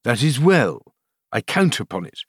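A man's voice: mid-range voice, big pitch swings, a little slow, slightly rough, dry recording, studio quality. A man voicing a cold, cruel king with pompous, commanding, clipped speech for a storybook. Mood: imperious.

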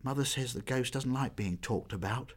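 A man voicing a secretive, fearful young girl dancer who talks in hushed, urgent, stammering bursts. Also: mid-range voice, normal speed, voice pushed up, natural voice.

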